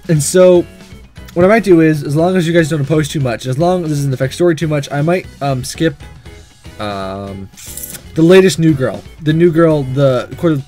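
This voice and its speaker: Animated, higher-voiced man giving game commentary. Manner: animated, informal, thinking aloud. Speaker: gaming video host. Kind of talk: casual playthrough commentary.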